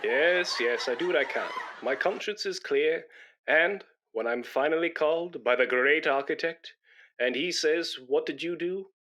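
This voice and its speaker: An actor in a comedy radio play, playing a pompous, self-righteous, boastful busybody who sounds self-important and wheedling. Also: male voice, fairly high pitch, unhurried, fairly bright tone, slight background noise.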